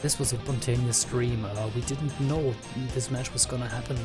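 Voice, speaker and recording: A man from Germany with a German accent, calmly commentating a strategy game match and answering chat questions. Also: medium pitch, moderate pace. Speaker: esports commentator. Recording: game music playing behind.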